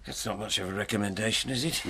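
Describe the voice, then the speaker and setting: An actor in a radio drama playing a genial, wry, self-assured retired police detective. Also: male voice, lowish voice, fast talker, noisy background, lightly acted. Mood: wry, reminiscing. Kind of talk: naturalistic radio drama acting.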